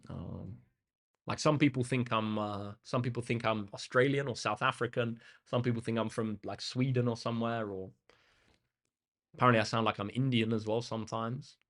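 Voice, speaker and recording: A man's voice: mid-range voice, normal speed. Laid-back young man chatting. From England. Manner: relaxed and chatty, with frequent ums and uhs. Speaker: maths tutor hosting a livestream. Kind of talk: casual chat answering live comments. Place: indoor room facing a big window.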